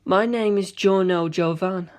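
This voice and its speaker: A woman voicing a calm, composed young male gang boss who speaks softly and slowly. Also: fairly high pitch, pitched above the performer's own voice, close to an everyday voice. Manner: soft, slow, measured.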